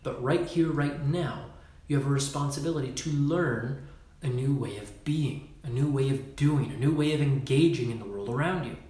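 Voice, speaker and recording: A man's voice: mid-range voice, moderate pace, mellow, roomy sound. A man leading a Facebook Live talk as a workshop leader, emphatic and casual, swearing now and then and acting out postures as he explains.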